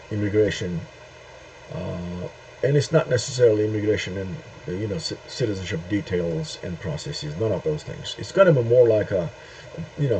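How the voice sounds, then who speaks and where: A middle-aged man from Turkey, speaking a halting opinion monologue to camera with many pauses and "uh"s. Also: on the low side, warm tone. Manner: halting, searching for words with frequent "uh" and "um" pauses. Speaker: video host. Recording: dull lo-fi sound with faint noise.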